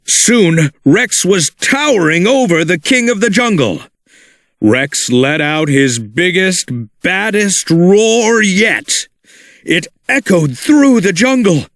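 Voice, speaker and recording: A man reading a children's storybook aloud in an animated, expressive way. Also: sits high, slow pace, dry recording, clean studio sound. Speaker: storybook narrator. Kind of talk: read-along storybook narration.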